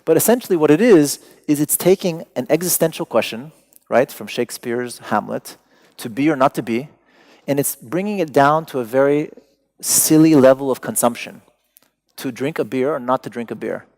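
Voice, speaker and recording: A man's voice: mid-range voice, expressive, normal speed, a little mellow. A man, a native Persian speaker, giving a talk to an audience, wry and digressive with joking asides. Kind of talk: lecture to an audience.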